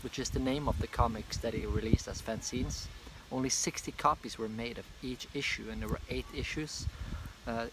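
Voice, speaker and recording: A man's voice: medium pitch, background noise. A young man with a Norwegian accent who makes comics, chatting loosely through a casual video review on a park bench, with many fillers. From Norway. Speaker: comic artist and vlogger. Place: park bench outdoors, beside a hedge. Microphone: phone camera at arm's length.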